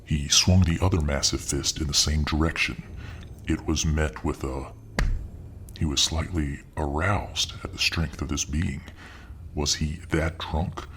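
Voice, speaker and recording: A man narrating a fiction story in a soft, ASMR style. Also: fairly even intonation, a little hiss or hum. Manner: slow, soft, deep. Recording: narration over clear music.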